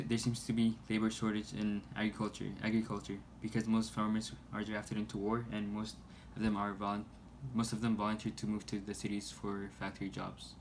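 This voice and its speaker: A man reading a scripted news report aloud in a halting way, stumbling over words. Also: fairly low pitch, darkish tone. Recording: noisy amateur recording.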